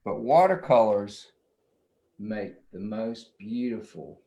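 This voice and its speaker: A man's voice: fairly low pitch, expressive, takes it slowly, mellow. A middle-aged man giving a casual, rambling drawing demonstration for children, often checking in with the viewer.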